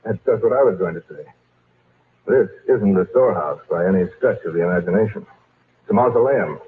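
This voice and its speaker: An actor playing a curious, level-headed husband in old-time radio drama, with a brisk conversational manner. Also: male voice, deep voice, lively intonation. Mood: brisk, intrigued. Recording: muffled, noisy old radio recording.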